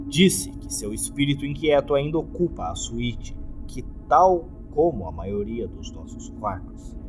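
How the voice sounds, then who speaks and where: A Brazilian man voicing a gloomy, courteous hotel keeper in a formal, measured, scripted horror narration. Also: medium pitch. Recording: music under the voice.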